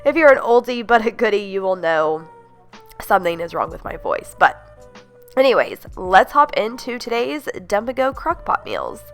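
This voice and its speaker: A young woman talks through her weekly dinners, her voice thick and nasal from a stuffed-up nose. She is chatty and enthusiastic, peppering her lines with "like" and "you guys", at an everyday mid pitch. Faint music plays quietly behind her. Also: very animated, normal speed. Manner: upbeat, gushing, many "like"s and "you guys". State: congested, stuffed-up voice. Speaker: home cooking vlogger. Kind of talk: casual chatty recipe voice-over.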